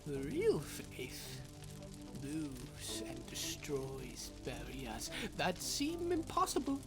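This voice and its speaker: A woman's voice: deep voice, huge pitch swings, very slow, over music, heavily acted. A woman voicing an imperious, mocking, volatile female dragon in a fantasy audio drama, theatrical and scornful. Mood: menacing, condescending. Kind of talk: fantasy audio roleplay acting.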